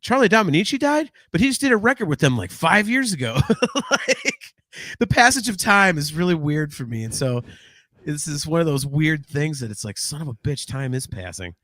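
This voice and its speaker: A man's voice: on the high side, big pitch swings, moderate pace, fairly bright tone, dry recording, clean studio sound. A man in his forties co-hosting a casual podcast chat, rambling and swearing with lots of false starts. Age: 40s. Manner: rambling, swearing, frequent restarts. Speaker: podcast co-host.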